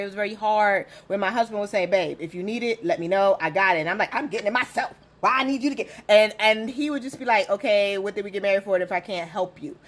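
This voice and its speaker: A woman in her forties hosting a casual chat, telling stories with lively quoted voices and frequent laughter. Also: mid-range voice. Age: 40s. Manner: animated storyteller, acts out quotes, laughs often. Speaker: host.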